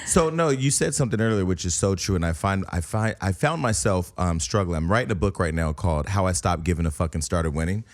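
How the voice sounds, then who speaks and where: A quick, brash man in his forties hosting an uncensored interview, talking at a fast clip. His pitch swings widely as he jokes, swears and steers the conversation. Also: lively intonation. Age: 40s. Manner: brash, profane, joking. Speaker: radio show host. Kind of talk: bantering interview talk.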